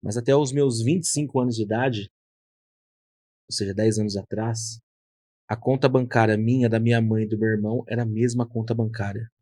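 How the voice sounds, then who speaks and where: A man's voice: on the low side, fairly even intonation, a little fast, warm tone, no room sound, clean studio sound. A man in his thirties, a hairdresser and podcast host, telling his story in an earnest, reflective way. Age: 30s. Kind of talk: casual conversation.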